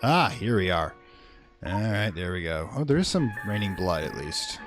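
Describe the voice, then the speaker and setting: A man's voice: deep voice, sing-song, normal speed, over music. A man hosting a gaming video, chatting casually and rambling as he thinks aloud during his let's play commentary.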